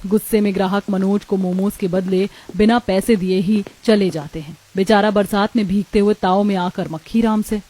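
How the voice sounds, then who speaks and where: A woman's voice: mid-range voice, steady tone, moderate pace, few pauses, warm tone. A woman narrating a scripted cartoon story as its storyteller.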